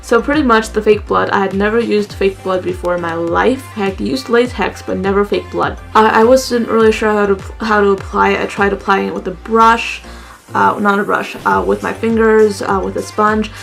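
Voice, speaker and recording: A young woman talks her viewers through a makeup tutorial in a loose, chatty way, full of fillers. Her voice has a gravelly vocal fry. Soft music plays faintly behind her. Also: medium pitch, steady tone, moderate pace. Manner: chatty and rambling, many fillers. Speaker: makeup vlogger. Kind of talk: casual makeup tutorial chat.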